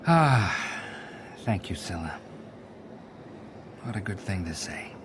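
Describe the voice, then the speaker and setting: A man's voice: deep voice, big pitch swings, slow pace, a bit bright, a little hiss or hum, full character voice. An older man acting a lonely, world-weary veteran assassin in a radio drama, terse and wry. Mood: wistful.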